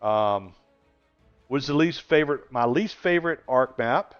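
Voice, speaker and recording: A man's voice: mid-range voice, expressive, unhurried, clear, resonant tone, no echo, faint music behind. A man in his sixties chatting casually on a gaming live stream, rambling and laughing often as he answers viewers. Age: 60s. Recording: dull lo-fi stream audio.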